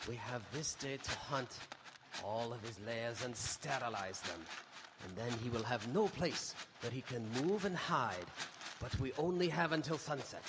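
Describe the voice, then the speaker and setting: An actor playing a commanding professor who leads a vampire hunt, grave and emphatic, in a theatrical radio drama. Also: male voice, medium pitch, animated, measured pace, noisy background. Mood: grave, resolute. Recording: echoey hall with long reverb.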